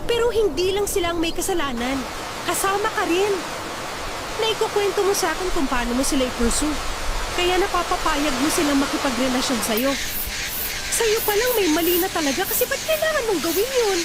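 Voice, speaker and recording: A woman's voice: very high voice, animated, a little fast, very noisy. A woman playing a worried female friend who earnestly lectures him in an audio drama. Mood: scolding. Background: music.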